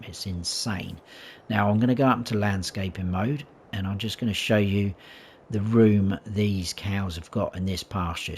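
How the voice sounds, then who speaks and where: A fairly low-voiced man with a croaky throat talks viewers through a farming game map. He chats casually and corrects himself as he goes, and there is a faint hum of game ambience behind him. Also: a little mellow. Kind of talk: casual gameplay tour commentary.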